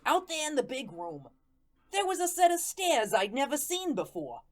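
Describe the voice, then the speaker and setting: A woman's voice: high voice, very animated. A woman playing a plainspoken, vengeful ghost who tells his tale in a folksy, colloquial way in an audio drama. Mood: wondering. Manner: folksy, colloquial, deliberate storytelling. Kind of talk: first-person audio drama narration.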